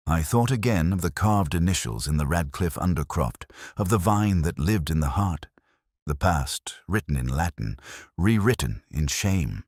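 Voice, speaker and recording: A man playing a weary, melancholy police inspector, narrating a mystery drama in solemn, literary, measured phrases. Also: a bass voice, no room sound, studio quality. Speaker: narrator of a detective audio drama. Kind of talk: scripted first-person mystery narration.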